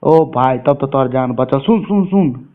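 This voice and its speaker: Bihari guy on a phone call, cusses a lot, really thin tinny line, medium pitch. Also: lively intonation, unhurried. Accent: Bihari (Mithila). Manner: coarse, swearing often. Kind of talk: informal phone conversation. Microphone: telephone.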